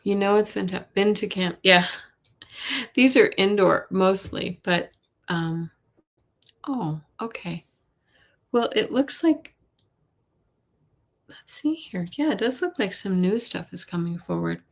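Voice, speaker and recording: A woman hosting a live tarot reading, a little hoarse, chatty, with a soft voice that often drops to a whisper. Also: mid-range voice, slow pace, long pauses. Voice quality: soft voice, not loud. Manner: chatty, reads viewer questions aloud, drops to whispers. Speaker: intuitive reader, live stream host.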